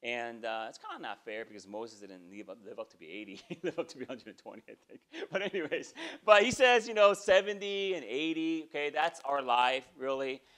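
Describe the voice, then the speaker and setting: A man's voice: medium pitch, fairly quick, few pauses. Conversational man in his forties preaching. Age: 40s. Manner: conversational preaching, frequent "okay?" check-ins, animated gestures. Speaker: pastor. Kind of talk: sermon. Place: small church sanctuary, indoors.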